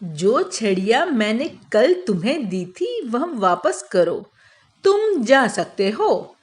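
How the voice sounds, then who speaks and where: A woman voicing a clever, self-assured male royal adviser in a children's moral cartoon, speaking in a measured, explanatory manner. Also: a little high, big pitch swings, darkish tone. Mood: firm, accusing.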